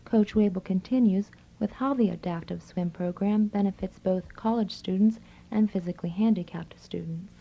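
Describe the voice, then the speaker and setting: A woman's voice: mid-range voice, normal speed, gravelly. A woman hosting a radio magazine program, reading scripted announcements and introductions. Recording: slightly dull old reel-to-reel radio tape.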